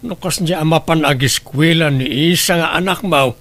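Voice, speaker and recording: An actor plays a practical uncle in a radio drama. His voice is a little high for a man, and he speaks calmly as he advises. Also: moderate pace, close to an everyday voice. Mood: calm, advising. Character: calm, practical uncle urging his niece.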